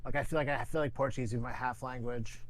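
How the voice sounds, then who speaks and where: A man's voice: mid-range voice, fairly even intonation, fast. A man in an online book club, talking in an offhand, casual way over a video call.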